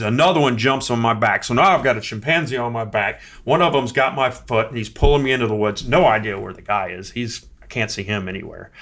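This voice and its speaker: A man who is a podcast guest, telling a personal story in a casual, animated way. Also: mid-range voice.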